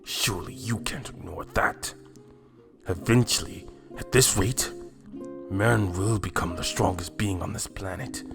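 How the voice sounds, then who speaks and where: Man acting proud, pushy low-voiced warrior. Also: unhurried, vocal fry, music in the background. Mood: insistent. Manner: challenging, pointed rhetorical questions. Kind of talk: fan-made anime drama acting.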